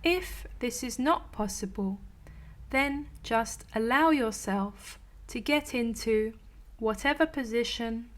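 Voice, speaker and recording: Lady doing guided meditation, kinda high soft soothing delivery, super slow w/ long gaps. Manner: soothing, gentle, unhurried with long pauses. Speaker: meditation teacher.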